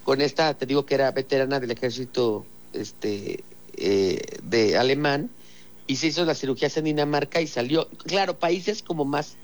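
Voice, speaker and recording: Hoarse Mexican woman telling a story. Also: rumbling low, normal speed. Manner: informal, storytelling, slangy. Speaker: radio contributor. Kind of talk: casual chat.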